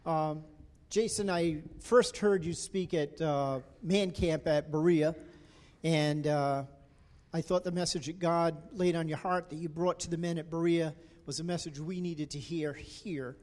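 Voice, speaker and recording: A man, the church's pastor, introducing a guest preacher in a halting way with many pauses. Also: fairly high pitch, fairly even intonation, a little mellow. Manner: halting, full of ums and uhs. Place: church sanctuary.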